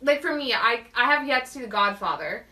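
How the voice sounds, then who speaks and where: A woman's voice: on the high side, lively intonation, a little fast, pushed and bright. A young woman with a Canadian accent chatting casually and effusively as a talk show co-host. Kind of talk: casual chat.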